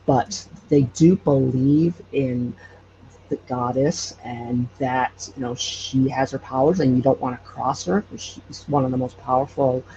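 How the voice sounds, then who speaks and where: A man explaining things in casual interview talk, as a podcast guest, in a halting way. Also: medium pitch. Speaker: podcast guest, local storyteller. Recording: muffled, with faint background noise.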